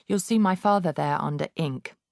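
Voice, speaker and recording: A woman's voice: on the low side, normal speed, vocal fry, a little mellow, no room sound, clean studio sound. A woman voicing a nervous young schoolboy who answers timidly and hesitantly.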